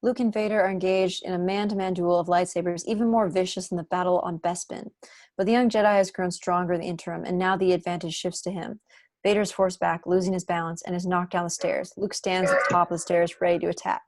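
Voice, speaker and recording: A woman's voice: lowish voice, little pitch movement, quick pace, darkish tone. A young adult woman reading scripted stage directions as narrator at an online table read. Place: room at home, video call.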